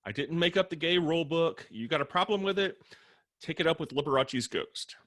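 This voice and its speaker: Actor as sardonic roommate, theatrically animated man. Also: a little high, brisk. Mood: bossy. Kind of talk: table read of a comedy screenplay.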